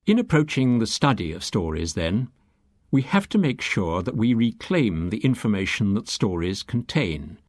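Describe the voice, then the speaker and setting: A man with a fairly low voice reads an audiobook in a close, dry recording. His delivery is measured and deliberate, like a prepared lecture, with pauses that set off the key phrases. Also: sing-song, a little mellow, no room sound. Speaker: audiobook reader. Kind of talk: scripted audiobook reading, lecture-like exposition.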